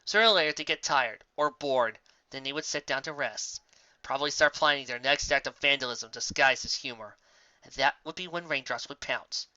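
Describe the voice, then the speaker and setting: A reader narrating a scripted fantasy story in a theatrical, expressive way. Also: medium pitch, moderate pace, no room sound, dull audio. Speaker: audiobook reader. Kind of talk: scripted narration of fan fiction story, dramatic.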